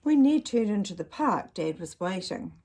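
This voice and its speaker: Husky-voiced woman reading a picture book aloud. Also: mid-range voice, normal speed. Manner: expressive, talks to young listeners with questions. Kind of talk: children's picture-book read-aloud with chatty asides.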